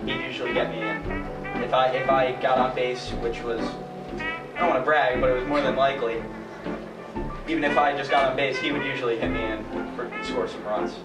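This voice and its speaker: Young man playing male baseball star, medium pitch, easygoing, halting, roomy sound with music. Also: steady tone, lightly acted. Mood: modest, easygoing. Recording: echoey distant mic under music. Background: instrumental music.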